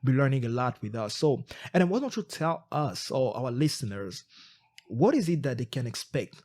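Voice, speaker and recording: A man's voice: medium pitch, very animated, moderate pace, a little mellow. Earnest man with a non-native English. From Congo. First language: not English. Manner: earnest, reflective storytelling with many restarts. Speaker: podcast co-host. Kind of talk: casual podcast chat.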